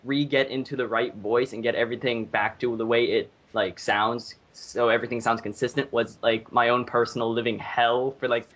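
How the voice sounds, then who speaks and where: A man's voice: mid-range voice, fairly even intonation, moderate pace, few pauses, fairly bright tone. A man who does amateur voice acting, talking casually on a commentary track. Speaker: amateur voice actor.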